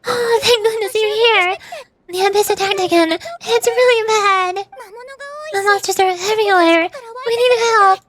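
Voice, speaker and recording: A woman's voice: extremely high pitch, measured pace, background noise, voice pushed up. A woman voicing a frightened young girl warrior from a game, tearful and begging for help. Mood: panicked, tearful. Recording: music behind the voice.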